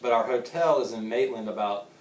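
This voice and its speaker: A man's voice: medium pitch, a little fast, echoey room, distant mic, a little hiss or hum, poor audio. Laughing joking man in his thirties. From Savannah, Georgia. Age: 30s. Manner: joking, laughing, rambling with restarts. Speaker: golfer. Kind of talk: casual interview answers.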